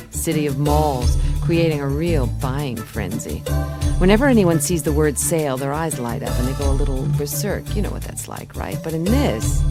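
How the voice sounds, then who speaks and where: An actress playing a wry, worldly galactic detective, narrating a noir radio drama in a deadpan, musing manner. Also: female voice, sits low. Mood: wry, cool. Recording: music bed under the voice.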